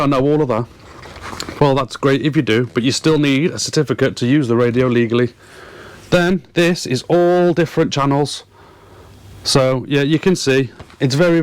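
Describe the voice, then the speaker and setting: Earnest man explaining casually. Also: mid-range voice. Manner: earnest, emphatic, repeats words for stress. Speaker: fishing and boating vlogger. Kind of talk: casual explainer, talking to viewers.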